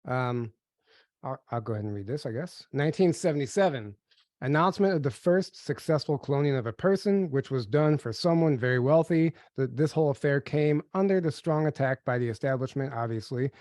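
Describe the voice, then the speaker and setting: A man's voice: medium pitch, animated, fairly quick, darkish tone, no room sound. Guy hosting a webinar, bit stuffy from a cold, chatty, dry close mic. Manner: upbeat, chatty, reads slides aloud. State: getting over a cold, a little nasal. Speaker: podcast co-host. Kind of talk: casual webinar presentation.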